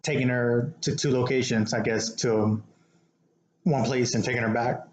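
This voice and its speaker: A man's voice: mid-range voice, steady tone, moderate pace. An American man telling casual anecdotes as a co-host in a conversational, somewhat hesitant manner. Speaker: podcast co-host telling stories. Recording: roomy echo with background noise.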